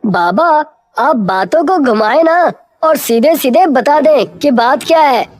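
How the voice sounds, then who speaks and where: A woman as an earnest village man, raised pitch. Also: animated, measured pace, a little mellow, dry recording, clean studio sound. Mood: alarmed. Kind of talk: cartoon moral story acting.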